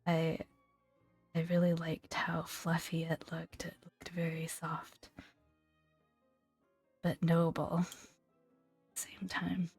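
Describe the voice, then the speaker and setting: A woman hosting a soft-spoken ASMR gaming video, talking gently and fondly in a hushed voice that often slips toward a whisper. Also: on the low side, monotone, slow, pauses often, husky, no room sound, soft background music. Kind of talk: soft-spoken ASMR, relaxed commentary and read-aloud entries.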